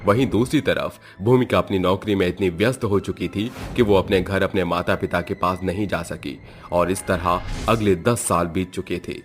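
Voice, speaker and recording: A man's voice: low voice, moderate pace, few pauses. A man narrating a scripted horror moral story as a storyteller. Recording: music behind the voice.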